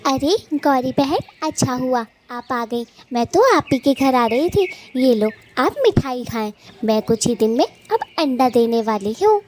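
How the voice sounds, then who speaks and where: A woman voicing a friendly young female bird in a cartoon, talking softly and kindly. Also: high-pitched, expressive, unhurried, few pauses, slight background noise. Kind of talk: Hindi cartoon voice acting.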